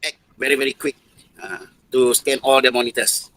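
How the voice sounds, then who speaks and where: A man with a Malaysian accent giving explanatory interview answers in a halting manner with non-native phrasing. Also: medium pitch, fairly even intonation, sharp tone, dry recording, poor audio. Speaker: interviewed air traffic control expert.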